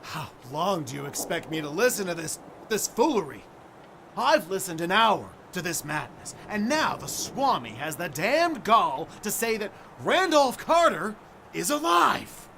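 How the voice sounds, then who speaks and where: A man's voice: high-pitched, very animated, a little slow, bright, forceful tone, a little hiss or hum, full character voice. An actor playing an irascible, sceptical old man in a radio play, blustering and indignant. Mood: scornful, indignant. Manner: blustering, indignant, stammering scorn.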